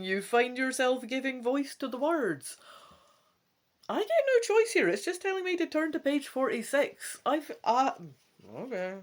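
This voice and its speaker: A woman hosting a gamebook let's play, switching between casual, chatty asides and dramatic reading aloud. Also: fairly high pitch. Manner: chatty, animated, self-questioning asides.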